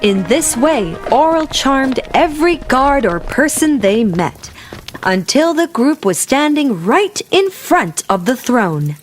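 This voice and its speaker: A woman narrates a fairy tale in an expressive storytelling style. Her voice is fairly high and animated, her pace unhurried, and music plays behind her. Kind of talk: scripted fairy-tale narration for young listeners. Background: clear music.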